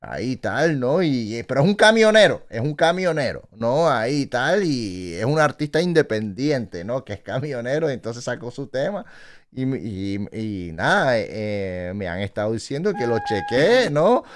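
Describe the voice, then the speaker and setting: A man, hosting a reaction video in casual, animated talk from a small indoor room on a studio mic, exclaiming, laughing and tagging his phrases with quick questions. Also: mid-range voice. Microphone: studio mic, wearing headphones in gaming chair.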